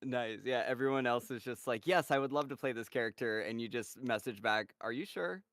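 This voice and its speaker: A man's voice: mid-range voice, fairly quick, fairly bright tone, slight background noise. Upbeat laughing man hosting a Q&A. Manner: laughs often, upbeat and encouraging. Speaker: host of a cast Q&A. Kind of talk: casual livestream Q&A.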